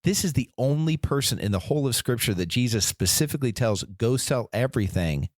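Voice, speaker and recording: A man's voice: medium pitch, animated, brisk, no room sound, studio quality. A man co-hosting a casual faith podcast, talking earnestly with frequent restarts and quoted imagined voices. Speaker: podcast co-host.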